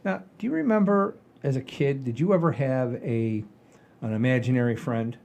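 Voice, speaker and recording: A man's voice: mid-range voice, moderate pace, vocal fry, a little mellow. A man in his sixties hosting a casual comedy chat show in a folksy, rambling, enthusiastic way. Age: 60s. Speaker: podcast host.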